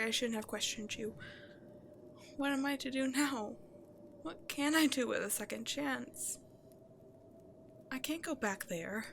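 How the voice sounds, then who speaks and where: A woman playing a remorseful, self-loathing cursed princess turned beast in an ASMR roleplay, halting and confessional, often hushed to near-whispers. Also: fairly high pitch, vocal fry, slight background noise. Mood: contrite, lost. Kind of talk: dramatic ASMR roleplay monologue to the listener.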